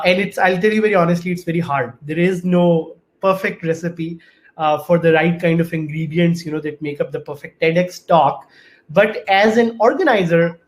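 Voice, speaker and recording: A fairly high-pitched man talks through a video-call mic. He is earnest and reflective and stops now and then to find his words. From Pakistan. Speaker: panelist, event organizer. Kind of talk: casual panel talk over a video call.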